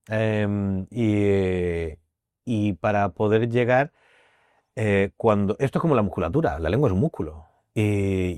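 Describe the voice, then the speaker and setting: Murcian-accented man, low register, voice teacher explaining and repeating his points, dry studio sound. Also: darkish tone, no room sound. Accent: Murcian Spanish. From Murcia, Spain. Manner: thoughtful, circling back to repeat key phrases. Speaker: voice teacher and actor. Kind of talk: podcast interview, explaining.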